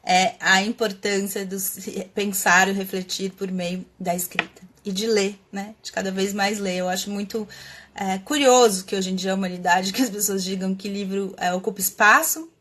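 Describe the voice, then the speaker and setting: A woman's voice: mid-range voice, steady tone, normal speed, fairly bright tone. Brazilian woman musing aloud on a live stream. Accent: Brazilian Portuguese. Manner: thinking aloud with many fillers and restarts. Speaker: host of a live stream on writing. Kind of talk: casual reflective live talk. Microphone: webcam or computer mic, live stream.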